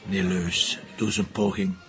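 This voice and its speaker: A man's voice: deep voice, level tone, moderate pace, fairly bright tone, faint music behind, poor audio. A man playing a dry, probing police commissioner in a TV crime drama, teasing and informal, with a Flemish accent.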